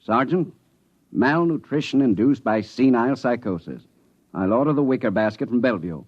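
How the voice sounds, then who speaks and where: Condescending American police doctor, dry sarcasm and pompous certainty, medium pitch, muffled vintage radio broadcast. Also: male voice, expressive, normal speed, breathy edge. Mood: sarcastic. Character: caustic, condescending male police medical examiner. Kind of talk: 1950s radio drama acting. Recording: dull, lo-fi 1950s radio broadcast.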